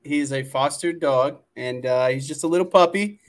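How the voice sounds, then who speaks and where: A man's voice: on the high side, moderate pace. A man co-hosting a sports podcast in casual, conversational chat. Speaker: podcast co-host.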